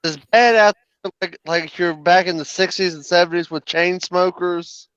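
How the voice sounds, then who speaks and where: A man's voice: high-pitched, fairly even intonation, moderate pace, a bit bright, clear, resonant tone, no room sound. A man chatting casually over a dull, lo-fi voice link. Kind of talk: casual chat.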